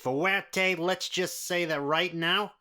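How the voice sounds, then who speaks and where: An actor playing a threatening gangster gunman in a pulp audio drama, speaking in a hushed, menacing way. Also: high-pitched, fairly even intonation, slow pace, smooth, exaggerated voice.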